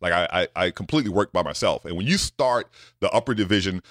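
A middle-aged man from the United States, a scientist guest telling his story in an animated way, often checking in with "right?". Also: low-pitched, fast, no echo, clean studio sound. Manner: animated, with many repeated "right?" asides. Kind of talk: storytelling in a podcast interview.

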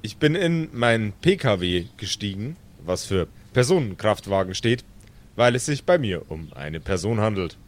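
A man's voice: fairly low pitch, expressive, normal speed, slight background noise, pitched below the performer's own voice, lightly acted. A man voicing an oddly formal, robotic impostor who poses as human, speaking stiffly and over-politely in an improvised audio role-play. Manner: stilted, over-polite, over-literal.

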